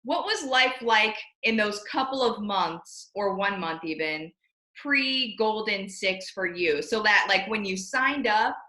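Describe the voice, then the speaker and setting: A woman's voice: medium pitch, lively intonation, slow, sharp tone, reverberant. A woman hosting a casual video chat interview, peppy and talking straight to her viewers. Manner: peppy, addresses viewers as "you guys". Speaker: host.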